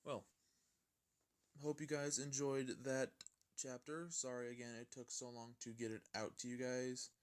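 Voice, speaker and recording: Male amateur storyteller, medium pitch, reads narration aloud with occasional stumbles and restarts. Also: level tone, measured pace, clear, resonant tone. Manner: stumbling over words, often rereading. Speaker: fan fiction reader.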